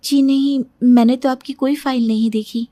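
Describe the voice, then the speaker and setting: A woman voicing a dutiful, soft-hearted young daughter and bride in a moral story. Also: high voice, fairly even intonation, normal speed, dry recording, voice pushed up. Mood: upset, defensive. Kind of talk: moral story character dialogue. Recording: music behind the voice.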